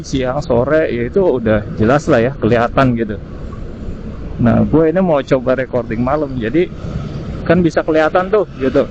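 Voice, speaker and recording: A man chatting casually through an unscripted review, often pausing to search for words. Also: mid-range voice. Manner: hesitant, searching for words, frequent tag words. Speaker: vlogger reviewing gear. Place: outdoors on the road at night. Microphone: helmet intercom microphone. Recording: muffled intercom mic with road noise. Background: vehicle and street noise.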